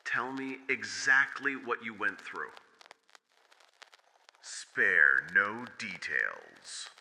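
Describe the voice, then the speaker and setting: A man playing a measured therapist in an audio horror drama, questioning deliberately and clinically. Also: lowish voice, expressive, sharp tone, exaggerated voice. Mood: probing, measured. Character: probing, measured male therapist. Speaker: voice actor. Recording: long reverb with faint hiss and clicks.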